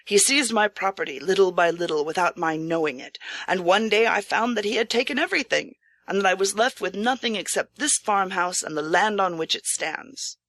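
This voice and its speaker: Woman voicing a proud impoverished samurai, bright and earnest. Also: medium pitch, lively intonation, normal speed, hardly any pauses, no room sound. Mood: resigned. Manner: earnest, courteous, formal old-fashioned speech. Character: impoverished proud samurai disguised as humble farmer host. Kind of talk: audiobook character acting.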